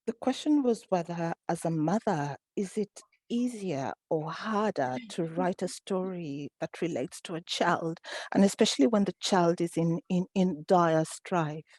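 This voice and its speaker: Low-voiced Swahili-speaking woman host. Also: measured pace, a little mellow, no room sound. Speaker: event host and interviewer. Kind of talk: moderating an online author event. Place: home video call. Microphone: computer microphone on video call.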